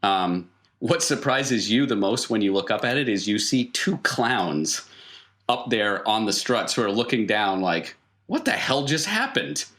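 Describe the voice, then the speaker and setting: Male game master with a lowish voice, improvising tabletop RPG narration conversationally, pausing as he finds colourful detail. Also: lively intonation, fairly bright tone.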